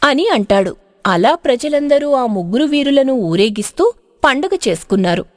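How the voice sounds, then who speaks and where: A woman's voice: high voice, very animated, normal speed, dry recording, studio quality. A woman narrating a storybook tale in a dramatic, scripted style.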